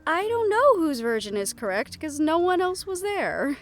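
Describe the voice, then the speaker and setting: A high, girlish-leaning young siren-banshee played by a woman speaks intimately, as in a roleplay audio drama. She is affectionate, wry and playfully teasing, telling things conversationally. Guitar music plays behind her. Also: big pitch swings, moderate pace. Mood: wry, amused. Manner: soft-hearted, teasing, conversational storytelling.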